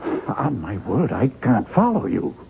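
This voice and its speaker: A man's voice: mid-range voice, wildly swooping pitch, takes it slowly, vocal fry, full character voice. An actor playing a baffled, cautious male publisher in a 1970s radio drama. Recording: muffled, noisy old radio broadcast.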